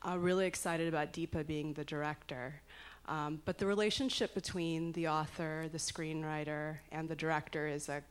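A woman's voice: low voice, fairly even intonation, normal speed, smooth. A woman from the audience asks a chatty, joking question. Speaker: audience member asking a question.

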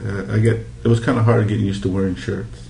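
Middle-aged male interviewee from Hawaii, relaxed dark tone, casually telling memories, roomy sound with faint noise. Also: fairly low pitch, quick pace, creaky. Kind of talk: casual oral history interview, reminiscing. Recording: echoey room with background noise.

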